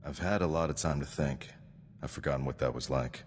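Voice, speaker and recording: An actor playing a stern, dutiful sergeant in a video game, speaking formally and precisely, coldly devoted to victory. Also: male voice, very deep voice, a little fast, big-room sound, slight background noise. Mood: stoic. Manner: formal, precise, recites statistics. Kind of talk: scripted video game acting.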